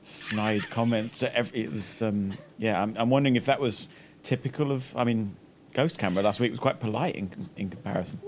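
A man's voice: fairly low pitch, brisk, hardly any pauses. A man talking casually and hesitantly, searching for his words. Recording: faintly noisy, clicks, live event.

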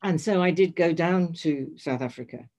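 A woman's voice: fairly low pitch, normal speed, warm tone. An older woman telling a long personal story in conversation, hesitant, restarting and correcting herself often. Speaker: guest.

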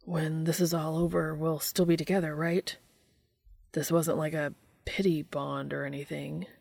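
A woman voicing a gruff but bashful man in an audio story, soft-spoken and casual, with slangy wisecracks. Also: low-pitched, little pitch movement, moderate pace, no echo, clean studio sound. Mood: nervous, hopeful.

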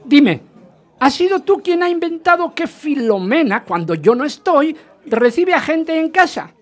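A man's voice: very high-pitched, big pitch swings, measured pace, exaggerated voice. An actor playing a jealous, agitated husband in a radio play, insistent and dramatic as he narrates and questions. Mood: agitated, demanding. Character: jealous, agitated husband recounting his hunt for a rumour. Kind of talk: radio theatre narration and dialogue.

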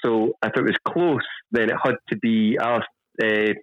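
A man's voice: fairly low pitch, steady tone, moderate pace, a bit bright, dry recording. A man calling in by phone to a podcast, joking at his own expense. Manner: self-mocking, laughs easily. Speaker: guest on phone call. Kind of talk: casual chat. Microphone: phone line. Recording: dull phone line.

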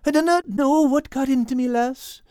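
High, groveling tomcat. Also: male voice, moderate pace, mellow, no echo, clean studio sound. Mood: remorseful, fearful. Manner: stammering, pleading. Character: meek, groveling, foolish orange tomcat.

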